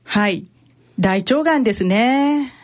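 A woman playing a measured, matter-of-fact hospital doctor in an amateur radio drama. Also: fairly high pitch, expressive. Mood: calm, serious. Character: hospital doctor calmly breaking bad news. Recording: music behind the voice.

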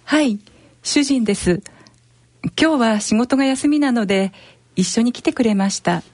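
A woman's voice: a little high, normal speed, a little mellow, no echo, natural voice. A woman playing an anxious, polite married patient who feels misunderstood, in an amateur radio drama. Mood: polite, reserved.

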